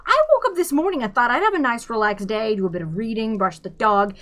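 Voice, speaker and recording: A woman reading aloud, voicing a panicky young man who talks in a shrill, frantic, whiny rush. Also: very animated, moderate pace, fairly bright tone. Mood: exasperated.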